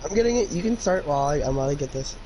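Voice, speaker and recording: A man's voice: fairly high pitch, lively intonation, very fast. A man reading a story aloud and chatting about it in casual slang, sometimes stumbling over the words, on an amateur recording. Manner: informal, youthful slang, stumbling over the text. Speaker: co-host reading fan fiction aloud. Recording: noisy amateur home recording.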